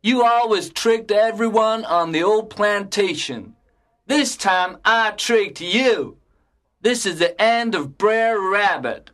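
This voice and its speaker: A man voicing a sly, hungry male fox villain in a storybook, with a gloating, deliberate delivery. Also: high-pitched, animated, fairly bright tone, voice pushed up, exaggerated voice. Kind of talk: storybook character voice.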